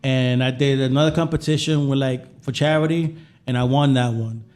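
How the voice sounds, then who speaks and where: Mellow-voiced man chatting casually. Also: medium pitch, steady tone, normal speed. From Puerto Rico. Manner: informal, repeats words and phrases. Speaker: guest chef. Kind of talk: casual podcast conversation, telling personal stories.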